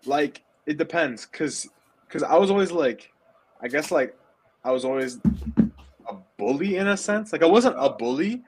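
A man's voice: fairly high pitch, lively intonation, normal speed, a little hiss or hum, low-quality recording. A young man from Ottawa, a podcast guest, talking casually in a loose, rambling way with lots of fillers. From Ottawa, Canada. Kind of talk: casual chat.

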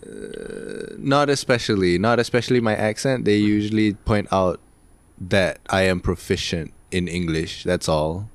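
A man's voice: deep voice, unhurried, no echo. A young man from Malaysia with a Malaysian accent co-hosting a podcast in casual chat, hesitant and rambling. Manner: hesitant and rambling, many fillers. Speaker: podcast co-host.

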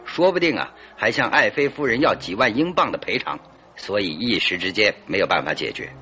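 A man's voice: on the low side, expressive, fairly quick, sharp tone, slight background noise. An actor playing a confident, self-satisfied detective in a radio drama, theatrical and emphatic as he expounds his theory. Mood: smug, expounding.

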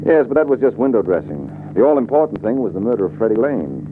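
Wry American detective, old tinny radio. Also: male voice, fairly low pitch, sing-song, slight background noise. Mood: assured, wry. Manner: glib, slangy, unhurried reasoning. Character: wry, self-assured male private detective. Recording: muffled narrow-band old radio recording.

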